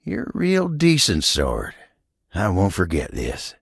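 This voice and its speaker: Game role: earnest, kindly country feller, gravel-voiced, unhurried American Southern delivery, recorded very dry. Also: male voice, low-pitched, huge pitch swings, no room sound, heavily acted. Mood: shy, grateful. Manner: folksy drawl, humble and earnest. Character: gentle, folksy living table, cowboy-like helper. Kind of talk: video game character voice acting.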